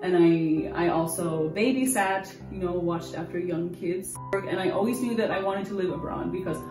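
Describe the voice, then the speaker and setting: A woman's voice: lowish voice, normal speed. A young American woman in her twenties telling her story in a candid, rambling interview. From United States. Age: 20s. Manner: candid, rambling, full of restarts. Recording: roomy distant mic with music. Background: guitar music.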